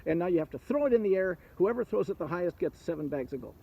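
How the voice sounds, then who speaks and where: Man doing a fussy dim male dragon for a told folktale, raised gravelly voice, gets pleading fast. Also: high voice, lively intonation, no echo, muffled recording, exaggerated voice. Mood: boastful.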